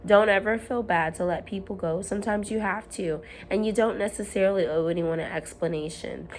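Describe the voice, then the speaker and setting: A woman at home, hoarse while her voice comes back, giving an earnest, rambling motivational talk to camera with frequent ums and restarts. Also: medium pitch, a little fast, a little hiss or hum. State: hoarse, recovering from lost voice. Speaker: self-help vlogger.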